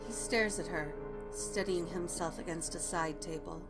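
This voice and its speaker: A woman's voice: fairly low pitch, normal speed. A woman reading stage directions as scripted narration for a dramatic table read. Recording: music playing behind the voice.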